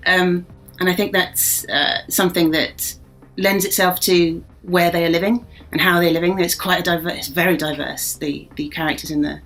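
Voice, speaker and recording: A voice actress with a mixed accent, talking casually and reflectively in an interview. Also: female voice, lowish voice, fairly even intonation, normal speed, fairly bright tone, rough recording. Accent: mixed, no single regional accent.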